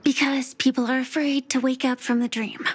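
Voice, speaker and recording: A woman playing a gentle, earnest young heroine in an anime-style video game. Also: sits high, level tone, moderate pace, slight background noise, natural voice. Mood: curious. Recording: music behind.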